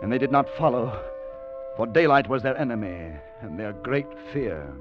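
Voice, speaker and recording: A muffled old radio recording with prominent music carries an actor as a man narrating as a weary adventurer. He is grave and haunted, telling his tale in deliberate, literary phrases with 1950s radio drama intensity. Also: mid-range voice, lively intonation, natural voice. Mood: grim, haunted. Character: weary, grimly haunted male narrator-adventurer recounting horrors.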